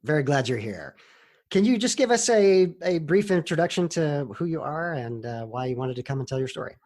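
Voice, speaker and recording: A man hosting an interview podcast, introducing his guest and asking steady, welcoming questions. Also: on the high side, a little fast. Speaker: podcast host.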